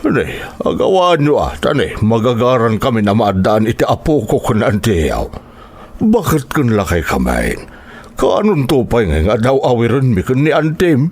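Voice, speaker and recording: Husky old ilocano uncle, nosy but concerned, lively up-and-down delivery, radio drama. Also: male voice, medium pitch, moderate pace. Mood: coaxing. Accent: Filipino, Ilocano. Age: older. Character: concerned, prying older uncle eager for grandchildren. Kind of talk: radio-style drama acting.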